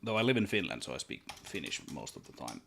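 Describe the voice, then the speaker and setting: A man with a Swedish accent and a deep, low voice chats casually while he works at his desk. He thinks aloud, restarting and repeating words in a relaxed, rambling way. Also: quick pace, vocal fry, a little hiss or hum. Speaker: solo game developer streaming. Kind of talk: casual livestream chat while working.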